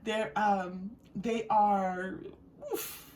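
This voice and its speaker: A woman giving an unscripted, intuitive tarot reading straight to viewers, speaking gently and reassuringly in halting, searching phrases with repeated words. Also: medium pitch, little pitch movement. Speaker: tarot reader. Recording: distant mic with faint background noise.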